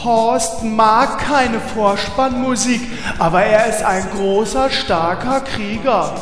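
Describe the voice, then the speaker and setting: A man voicing a big male warrior who turns fussy and poetic, speaking in a drawn-out, affected way. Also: high voice, fairly bright tone, soft background music. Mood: boastful.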